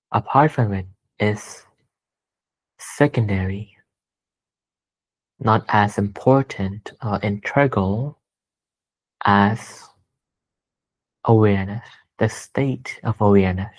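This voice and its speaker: Male meditation guide, low-pitched, very unhurried, gentle and deliberate, phrase by phrase. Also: low-quality recording. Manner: gentle, deliberate, pausing between short phrases. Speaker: meditation teacher.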